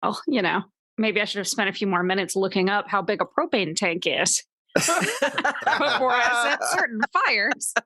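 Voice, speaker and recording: A woman chatting casually as a tabletop game player, telling things in a wry, animated way with some swearing. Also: medium pitch, moderate pace, no echo. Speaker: player on a tabletop actual-play show. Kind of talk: casual chat.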